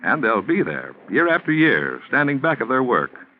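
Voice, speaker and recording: Animated 1940s male radio announcer. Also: medium pitch, moderate pace, a little hiss or hum. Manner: formal, polished salesmanship. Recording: muffled 1940s radio recording, faint music.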